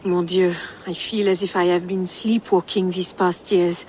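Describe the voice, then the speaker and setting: A woman's voice: on the low side, flat delivery, unhurried, darkish tone, a little hiss or hum, close to an everyday voice. An actress as a passionate French noblewoman. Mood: yearning, seductive. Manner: romantic, poetic. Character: passionate, restless Frankish noblewoman yearning for a new life. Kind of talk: video game cutscene acting.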